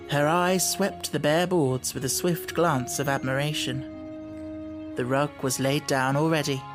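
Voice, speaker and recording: A woman reading a children's novel as scripted audiobook narration. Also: sits low.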